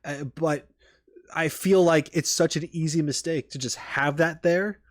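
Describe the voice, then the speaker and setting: A man's voice: a little high, steady tone, unhurried. A man giving casual, off-the-cuff commentary as a reaction streamer, rambling through his thoughts with many asides. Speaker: reaction video host and streamer.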